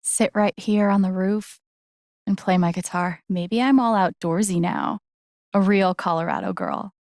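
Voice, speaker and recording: A woman playing a sincere, gently wry young game heroine, with an American accent and an understated, conversational delivery. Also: mid-range voice, big pitch swings, unhurried, no room sound, studio quality. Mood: warm, teasing. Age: young adult. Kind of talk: naturalistic video game voice acting.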